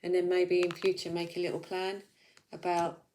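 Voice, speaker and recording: A woman's voice: lowish voice, monotone, normal speed, a little mellow, slight background noise. A woman giving a casual weekly tarot reading, chatty and reassuring, thinking aloud as she goes, with a slight sore throat. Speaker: tarot reader.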